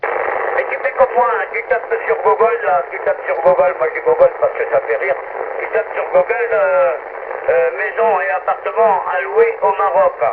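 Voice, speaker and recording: Noisy radio, creaky high-voiced man. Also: moderate pace. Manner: colloquial, chatty, familiar. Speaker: amateur radio operator. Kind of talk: casual radio contact chat. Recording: dull, noisy amateur radio transmission.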